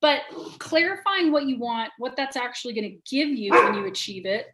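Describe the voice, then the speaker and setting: A woman, a guest on a business interview, talking quickly and openly and filling her speech with "like". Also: on the high side. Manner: rapid and animated, lots of "like" fillers. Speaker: guest, sales trainer and business owner. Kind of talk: candid conversational interview.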